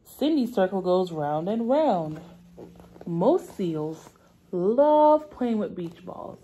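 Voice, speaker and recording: A woman's voice: mid-range voice, sing-song, slow, mellow, a little hiss or hum, poor audio. A young woman speaking as a preschool teacher, reading aloud to small children in simple, repeated, stretched-out phrases. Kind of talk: children's read-aloud lesson. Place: indoor classroom.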